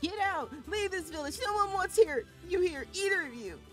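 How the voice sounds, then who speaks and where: A man voicing a cruel village bully boy in a storybook reading, sneering and shouting, over music. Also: very high voice, sing-song, normal speed, voice pushed up, full character voice. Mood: shrieking.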